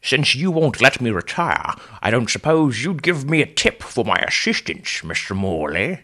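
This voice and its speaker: Casting: male narrator doing a worn-out old superhero, strong but wheezy voice, lively and bright, dry wit. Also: medium pitch, moderate pace, pitched above the performer's own voice. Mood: weary. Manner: weary, dry and sardonic. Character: old stooped weary male superhero, wry.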